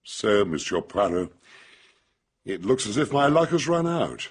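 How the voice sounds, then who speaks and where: A cool gentleman murderer in a radio drama. He speaks in a low, slightly rough voice with very wide swings of pitch. His manner is suave, sardonic and controlled. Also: sing-song, measured pace, full character voice. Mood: wry, resigned.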